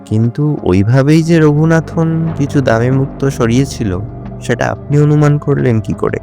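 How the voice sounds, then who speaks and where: A man's voice: medium pitch, moderate pace, gravelly, over music, close to an everyday voice. A male actor playing a puzzled, curious police officer in an audio drama, speaking in a questioning manner. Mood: puzzled, probing. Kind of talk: Bengali audio drama dialogue.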